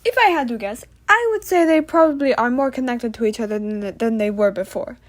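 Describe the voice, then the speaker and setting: Woman as a boastful revolutionary leader, high-voiced. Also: expressive, brisk, close to an everyday voice. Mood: explaining proudly. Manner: boastful, matter-of-fact. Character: proud, self-satisfied male revolutionary leader as show guest. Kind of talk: comedic puppet-show interview.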